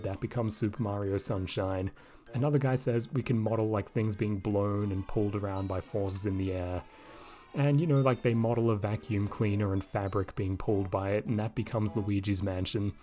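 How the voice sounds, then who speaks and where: A man with a slightly raspy voice, casually commenting over gameplay into a desk microphone and thinking aloud with lots of asides. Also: on the low side, dark tone. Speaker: video game commentator. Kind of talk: casual let's-play commentary.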